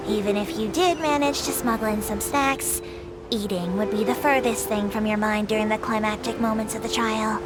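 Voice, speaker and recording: A woman's voice: mid-range voice, very noisy, natural voice. A woman voicing a small, polite, dutiful female creature who works as a tour guide in a video game, reciting announcements formally and precisely.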